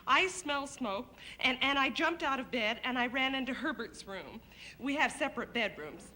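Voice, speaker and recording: A woman's voice: high voice, expressive, normal speed, pushed and bright. A woman playing an anxious, melodramatic wife in a broad comedy, fluttery in her delivery. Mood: flustered. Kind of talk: broad situation comedy acting. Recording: very noisy, hissy recording.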